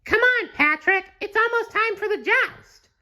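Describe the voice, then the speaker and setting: A man voicing a cheerful, eager young sea sponge in a squeaky, high-pitched voice, read from a storybook. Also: lively intonation, unhurried, bright, forceful tone, exaggerated voice. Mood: excited.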